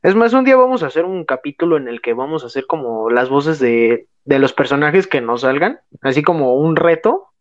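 A man with a Mexican accent, co-hosting a relaxed, slangy chat about old cartoons. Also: a little high, fairly quick, hardly any pauses, dull audio. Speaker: podcast co-host.

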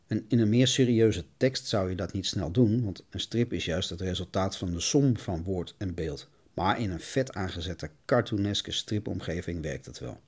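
A man's voice: lowish voice, darkish tone, slightly rough. A man delivering a scripted solo monologue as a podcast host.

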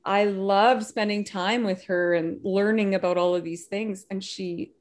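A woman in casual conversation, playful and quick to laugh, from Canada. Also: medium pitch, fairly even intonation, darkish tone.

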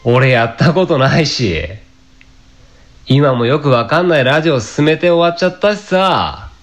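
A man's voice: fairly high pitch, expressive, normal speed, faint music behind, natural voice. A man playing a cynical, lazy part-time fake fortune teller in a radio drama, grumbling in casual, blunt speech. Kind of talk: amateur radio drama acting and narration.

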